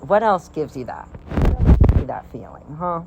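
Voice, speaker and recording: A man's voice: a little high, big pitch swings, normal speed, creaky, highs cut off, very noisy. A man in his thirties talking through a science topic in a casual monologue, rambling and hesitant with many fillers and restarts, but wondering and enthusiastic. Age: 30s. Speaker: vlogger.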